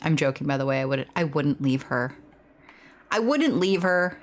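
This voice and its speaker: A woman's voice: sits low, lively intonation, moderate pace. A woman hosting a video game let's play, talking casually in a candid, slangy, informal way. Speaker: gaming video host. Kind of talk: casual let's play commentary with reading aloud of game dialogue. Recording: noticeable background noise.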